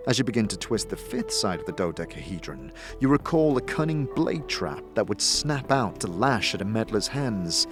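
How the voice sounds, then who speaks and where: A man narrating a fantasy tale in a scripted, dramatic style, with suspenseful, deliberate storytelling. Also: medium pitch.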